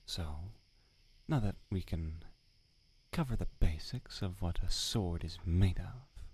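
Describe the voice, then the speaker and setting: A man's voice: low-pitched, takes it slowly, darkish tone, breathy edge, heavily acted. A man playing a prickly, irritable village swordsmith, in whispered, sarcastic roleplay ASMR. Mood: lecturing. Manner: whispered, exasperated, sarcastic scolding. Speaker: ASMR voice actor.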